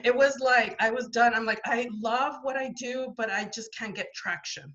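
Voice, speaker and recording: Woman guest, rambling and chatty, bright forceful tone, slightly high voice, dull lo-fi call audio. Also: normal speed. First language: not French. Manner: chatty, rambling, with frequent restarts and fillers. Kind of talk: casual interview storytelling.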